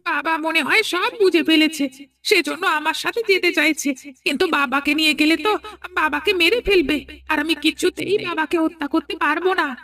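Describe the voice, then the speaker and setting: A woman's voice: very high voice, normal speed, sharp tone. A woman voicing a pleading, anxious young village son in a horror cartoon, with a childlike way of talking. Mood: evasive, anxious.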